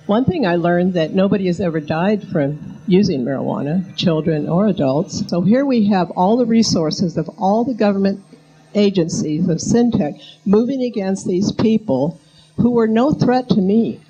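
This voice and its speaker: A woman's voice: medium pitch, expressive, moderate pace, warm tone. A woman giving a personal statement at a public discussion, recorded with a dull sound. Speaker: audience member giving a statement.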